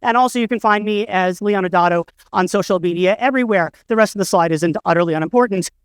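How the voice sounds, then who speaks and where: A man's voice: high voice, fast, smooth, dry recording. A middle-aged man giving a conference talk, telling stories with wry, self-deprecating humor. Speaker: conference speaker. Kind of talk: storytelling conference keynote. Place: conference stage, indoors.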